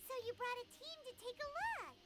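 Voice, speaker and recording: A woman voicing a tiny, childlike, excitable fairy companion with a squeaky voice in a video game. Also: fairly quick. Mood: explaining. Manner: excitable, speaks of herself in the third person.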